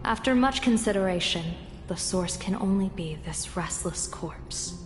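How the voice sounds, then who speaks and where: An actress playing a poised, shrewd female general in a video game, speaking in a measured, formal, wryly amused manner. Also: medium pitch, natural voice. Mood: resolute. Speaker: military commander. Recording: roomy echo with game music behind.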